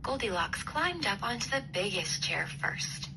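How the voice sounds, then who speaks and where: A woman storyteller reading a children's bedtime story aloud with expressive, clear phrasing. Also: medium pitch, unhurried. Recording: clear background noise.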